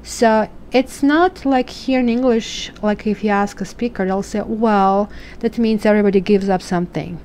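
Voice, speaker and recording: A woman's voice: medium pitch, normal speed, hardly any pauses, a little mellow, a little hiss or hum. A woman from Croatia with a Croatian accent, reading tarot cards in a chatty, musing way and asking questions aloud. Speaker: tarot reader.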